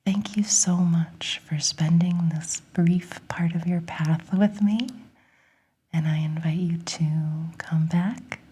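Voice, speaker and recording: A woman whispering a guided relaxation in a gentle, soothing, breathy ASMR style, encouraging the listener. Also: lowish voice, fairly even intonation, slow pace, a little hiss or hum.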